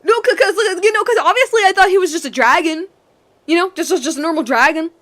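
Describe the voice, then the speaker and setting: A woman game streamer giving casual, animated reaction commentary on a playthrough, slangy and repeating her words. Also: very high voice, quick pace.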